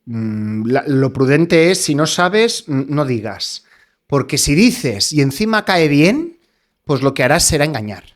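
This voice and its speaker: Male guest, somewhat high voice, hesitant explainer on a podcast mic. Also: big pitch swings, a little slow. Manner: hesitant, many fillers and rhetorical tags. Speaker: scientist guest. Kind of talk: explanatory interview talk.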